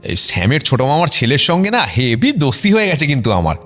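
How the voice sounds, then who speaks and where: A young male actor playing a breezy, hurried amateur photographer chatting casually in a Bengali audio drama. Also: mid-range voice, huge pitch swings, bright, forceful tone, exaggerated voice. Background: music.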